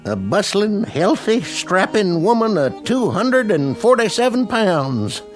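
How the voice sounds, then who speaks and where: A western radio play character, boozy American small-town widower. He is high-voiced and melodramatic, stretching his folksy words slowly with big swoops in pitch. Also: sing-song, soft background music, heavily acted. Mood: maudlin. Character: excitable, maudlin, hard-drinking small-town widower of the Old West. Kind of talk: radio drama acting.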